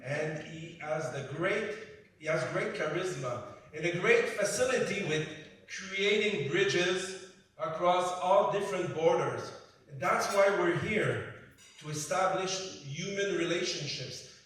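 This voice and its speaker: A man in his fifties with a French Canadian accent gives a conference keynote, his voice pitched fairly high for a man. He speaks with emphasis in short, repeated phrases, since French is his first language. He stands back from the mic, and the large hall echoes heavily around him. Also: unhurried, fairly bright tone, recorded from a distance. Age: 50s. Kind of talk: conference speech.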